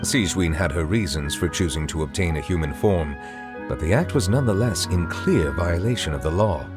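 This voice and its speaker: A reserved, dignified judge from a video game, with a lowish voice. He speaks in formal, courteous, measured phrases over clearly audible music. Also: animated. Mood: solemn.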